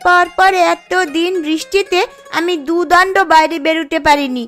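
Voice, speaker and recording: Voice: very high-pitched, fairly even intonation, normal speed, fairly bright tone. A performer playing a whiny, pleading, always hungry young village daughter in a children's folktale cartoon. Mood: whining, pleading. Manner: pleading, whining. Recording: music under the voice.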